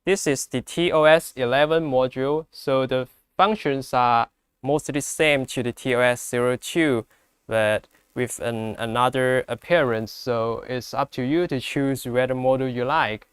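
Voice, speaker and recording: A man with a mid-pitched, very clear voice demonstrates products in a dry, close recording. He is a non-native English speaker who explains step by step, halting and repeating phrases as he goes. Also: no echo. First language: not English. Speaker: product presenter.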